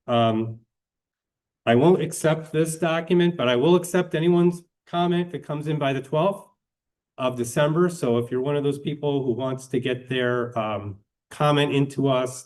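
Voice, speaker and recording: A man presiding as hearing officer at a public hearing, speaking in a measured, procedural way with frequent hesitations. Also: medium pitch, darkish tone, poor audio.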